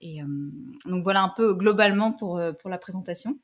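A woman's voice: medium pitch, steady tone, brisk. A woman in her thirties from Algeria and Paris, chatting as an interview guest in a hesitant, meandering way. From Algeria and Paris, France. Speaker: podcast guest, designer and creator. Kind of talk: casual interview chat.

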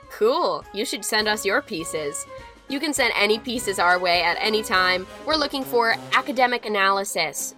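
A woman's voice: medium pitch, bright, forceful tone, dry recording. A woman hosting a podcast, talking casually and in an upbeat, chatty way straight to her listeners. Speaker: podcast host. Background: music.

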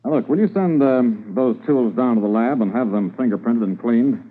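An actor playing a measured, authoritative senior police officer in a 1950s radio drama, speaking deliberately. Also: male voice, medium pitch, natural voice. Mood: thoughtful, commanding. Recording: muffled old 1950s radio recording.

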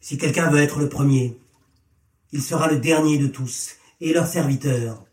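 A man's voice: on the high side, normal speed, natural voice. A man voicing a stern, commanding holy teacher in a scripture story, speaking with solemn authority. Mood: solemn teaching. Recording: echoey room, distant from mic.

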